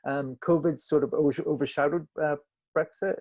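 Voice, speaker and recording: Halting man with a mellow voice. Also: a little high, steady tone. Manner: halting, searching for words, stammered restarts. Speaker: film director on a panel. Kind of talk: reflective panel discussion.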